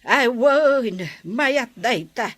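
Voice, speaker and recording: A woman playing a mother in a comedy radio drama, speaking in a slow, advising tone. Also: on the high side, animated, fairly bright tone, no echo. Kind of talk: Ilocano radio drama acting.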